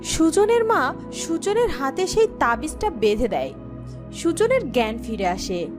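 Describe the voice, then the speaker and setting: A woman's voice: sits high, sing-song, a little slow, music in the background. A woman giving scripted storytelling narration for a fairy-tale cartoon.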